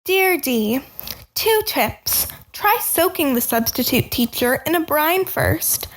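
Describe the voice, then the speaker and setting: A woman acts out a cannibal doctor with a notably high voice in a spooky comic radio play. The delivery is dry and matter-of-fact, treating ghoulish matters as everyday advice. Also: expressive, slow, poor audio. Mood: cheerfully ghoulish. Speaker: advice columnist.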